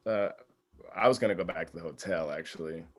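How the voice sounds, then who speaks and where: A man's voice: low-pitched, brisk. A man playing a mild, sensible groom with a hesitant, understated manner in a comedy table read. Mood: reluctant. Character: mild, sensible groom, easily talked round.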